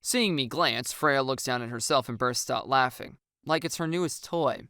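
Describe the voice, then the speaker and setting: A narrator reading a first-person story with acted dialogue, in an expressive and wry storytelling manner. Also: mid-range voice, normal speed, smooth, no echo, clean studio sound.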